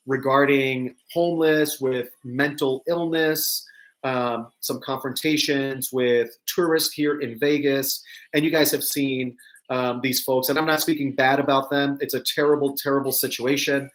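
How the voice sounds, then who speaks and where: A man's voice: medium pitch, fairly even intonation, measured pace, rough recording. A man from New York with a mixed American accent, touches of New York and Southern, hosting a casual live news chat and rambling to viewers with frequent ums and asides. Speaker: host of a live news show.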